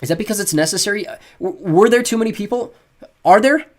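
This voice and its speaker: A man's voice: high-pitched, fast, pitched above the performer's own voice, lightly acted. A man voicing a frightened young boy who stammers and gulps in an audiobook. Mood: nervous. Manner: stammering, gulping. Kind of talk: audiobook character voice.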